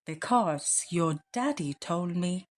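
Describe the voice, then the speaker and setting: A woman's voice: lowish voice, lively intonation, slow pace, darkish tone. A woman voicing a tender, adoring mother bear with a soothing manner in a children's storybook read-aloud. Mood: fond.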